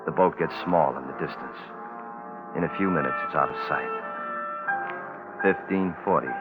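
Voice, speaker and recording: Deep-voiced, grave Navy man in radio suspense. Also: over loud music. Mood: grave, tense. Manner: clipped, grave, measured delivery. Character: terse Navy photographer man stranded alone on a bomb-test island. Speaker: lead actor and narrator. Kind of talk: radio suspense drama, first-person log narration. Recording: muffled, noisy vintage radio broadcast recording.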